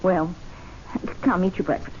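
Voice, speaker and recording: A woman's voice: lowish voice, steady tone, a little slow, a little mellow, close to an everyday voice. An actress playing a sceptical, practical, long-suffering wife in an old radio drama, chiding her husband dryly. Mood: nagging. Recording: muffled, noisy old radio drama recording.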